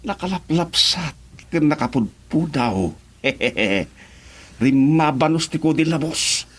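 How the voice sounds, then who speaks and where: A man's voice: fairly high pitch, lively intonation, slow, a bit bright, husky, exaggerated voice. A man playing a cocky, leering kidnapper henchman in a radio drama, with an Ilocano Filipino accent. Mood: gloating.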